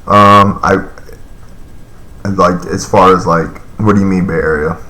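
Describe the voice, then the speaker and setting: A man's voice: low-pitched, fairly even intonation, a little slow, bright, forceful tone, echoey room, rough recording. A man in his thirties chatting casually on a live stream, reading and answering viewer comments in a loose, rambling way with lots of fillers. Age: 30s.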